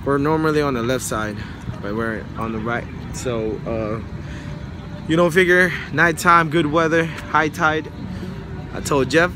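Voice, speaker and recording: A man's voice: on the high side, steady tone, a little slow. A man in his thirties hosting a casual night fishing vlog on a pier, talking into a handheld camera, often whooping and laughing. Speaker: vlog host. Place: outdoors on a harbor pier at night. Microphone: handheld camera mic. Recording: noisy outdoor camera audio. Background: traffic and outdoor noise.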